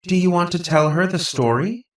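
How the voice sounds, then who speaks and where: A man's voice: high-pitched, moderate pace, echoey room, recorded from a distance, pitched above the performer's own voice, close to an everyday voice. A man voicing an innocent-seeming but coolly calculating robot girl in an audiobook, with a probing, scornful manner. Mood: feigned innocence. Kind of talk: audiobook character dialogue.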